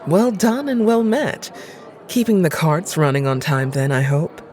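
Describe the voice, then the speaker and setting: A woman playing a haughty, cynical noblewoman in an audio drama, with an arch, aristocratic and deliberate manner. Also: deep voice, expressive, a little mellow. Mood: gracious, teasing. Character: haughty, cynical, insistent noblewoman, lady of the house.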